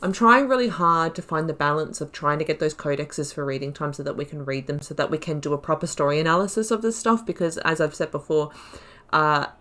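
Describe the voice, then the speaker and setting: A woman's voice: low-pitched, a little fast, a bit bright. A woman giving casual, reflective, rambling commentary as she hosts a video game playthrough. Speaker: gaming video host.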